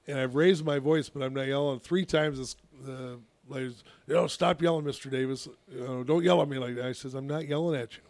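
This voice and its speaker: A man, a youth softball coach, telling a long story on a casual radio talk show, with a naturally loud voice and many restarts. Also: medium pitch, fairly quick, hardly any pauses, dry recording.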